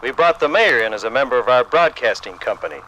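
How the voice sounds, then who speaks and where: A man's voice: medium pitch, lively intonation, quick pace, bright, forceful tone, lo-fi sound. A man playing a matter-of-fact 1920s radio station engineer in a radio dramatization, explaining plainly. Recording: noisy 1950s radio dramatization.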